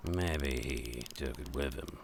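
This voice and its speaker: An actor playing a gruff, irritable older father out fishing, curt and grumbling in a radio drama. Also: a bass voice, takes it slowly, a little hiss or hum.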